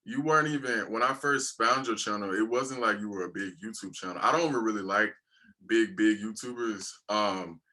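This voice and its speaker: A young man interviewing a guest, talking in a relaxed, rambling, colloquial way. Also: lowish voice, normal speed. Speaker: YouTube interviewer. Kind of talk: casual interview.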